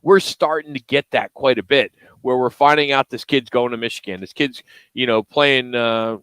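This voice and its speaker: A middle-aged man from the Philadelphia area talks sports casually as a radio co-host. His voice sits at an ordinary male pitch, recorded close and dry, and he presses his opinions with insistence. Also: moderate pace, a bit bright, smooth, no echo. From Philadelphia and South Jersey area, USA. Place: live remote radio broadcast at a car dealership.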